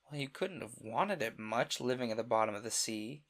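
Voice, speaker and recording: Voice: medium pitch, expressive, moderate pace, a bit bright. A reader voicing Alice, a polite, curious young girl, in a storybook reading.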